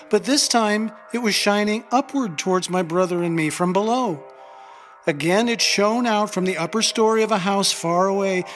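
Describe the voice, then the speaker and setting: An actor voicing a wistful storyteller who recalls childhood in measured, lyrical phrases for an audio drama. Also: medium pitch, natural voice. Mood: awed. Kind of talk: scripted dramatic narration. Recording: long hall reverb, music behind.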